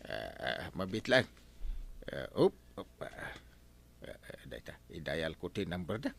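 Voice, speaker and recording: A man's voice: deep voice, big pitch swings, a little slow, gravelly, fairly bright tone, exaggerated voice. A man playing a bumbling, hesitant village captain and argumentative husband in a comedy radio drama. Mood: flustered.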